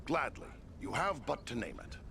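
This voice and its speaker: A man's voice: mid-range voice, sing-song, moderate pace, noisy background. A man playing a willing, plain-spoken adventurer in a fantasy video game.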